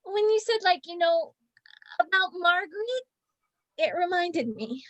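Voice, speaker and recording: A woman's voice: extremely high pitch, sing-song, measured pace, fairly bright tone, full character voice. A woman playing a naive, anxious amateur panto actress in a read-through of a stage comedy. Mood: hesitant. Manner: hesitant, naive, dithering. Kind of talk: play reading of a stage comedy.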